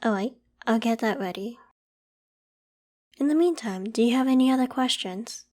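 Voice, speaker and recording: A woman voicing a polite, protective young female werewolf with a secret, in a gentle, reassuring ASMR roleplay. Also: a little high, normal speed, lightly acted. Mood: friendly, hospitable. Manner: gentle, reassuring, hesitant when evasive. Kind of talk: ASMR roleplay, acted one-sided conversation.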